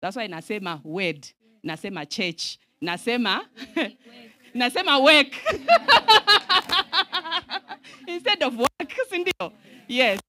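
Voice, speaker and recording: A woman from Zambia in her thirties giving an animated teaching at a camp meeting, mixing Swahili phrases into her English and calling for audience responses, speaking into a handheld microphone in a large outdoor tent. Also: sits high, slow pace, pauses often, no room sound. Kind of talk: lively sermon-style teaching.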